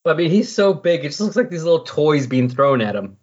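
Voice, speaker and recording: A man talking casually and loosely on a comics podcast, thinking aloud as he explains, with a slightly husky voice. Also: a little high, sing-song, brisk, darkish tone. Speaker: podcast co-host discussing comics. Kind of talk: casual, rambling comics discussion. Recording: dull lo-fi voice recording.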